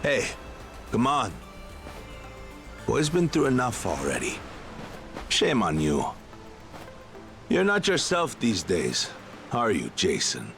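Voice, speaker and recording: An actor playing a genial, nostalgic middle-aged treasure hunter turned pirate in a video game, with a folksy, wry, measured way of talking. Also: male voice, lowish voice, huge pitch swings, creaky, a bit bright, soft background music, full character voice. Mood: reproachful. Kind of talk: dramatic video game voice acting.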